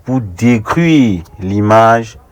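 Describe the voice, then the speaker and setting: A young man from Africa giving an earnest, deliberate opinion monologue, repeating phrases for rhetorical emphasis as an online commentator. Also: on the low side, animated. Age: young adult.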